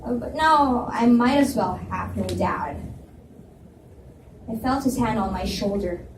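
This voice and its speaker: A woman's voice: fairly high pitch, a little slow, slight background noise. Woman reading aloud in echoey room. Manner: expressive narration with dialogue. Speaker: reader, first-person narrator. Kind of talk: live reading of romance novel. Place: library event room. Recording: echoey library room, mic at a distance.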